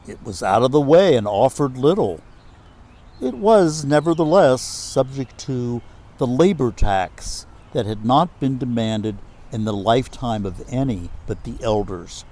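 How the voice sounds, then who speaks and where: Male storyteller for literary prose: unhurried, relaxed dark timbre, measured phrasing. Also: medium pitch. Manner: measured, deliberate phrasing with pauses. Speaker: reader of a literary myth. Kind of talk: expressive storytelling, reading prose aloud.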